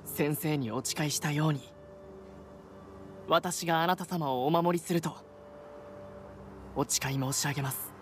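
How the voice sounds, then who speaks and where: A man's voice: on the high side, a little slow, long pauses, a little hiss or hum, natural voice. Man playing an earnest, loyal young samurai. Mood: devoted. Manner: deferential, formal. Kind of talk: dramatic game acting.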